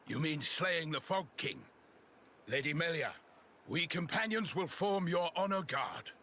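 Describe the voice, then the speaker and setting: A man's voice: a little high, expressive, measured pace, sharp tone, a little hiss or hum. A man playing an earnest, loyal warrior in a video game, formal and theatrical in his speech. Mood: earnest, dutiful. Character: excitable, loyal male warrior, reverent of royalty. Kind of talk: video game cutscene acting.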